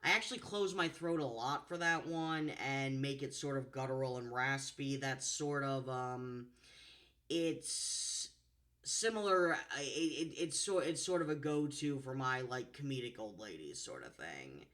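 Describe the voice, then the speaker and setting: A woman with a low contralto voice reading a storybook and chatting afterwards about her voices, expressive and playful. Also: a little slow. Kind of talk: storybook narration and chat.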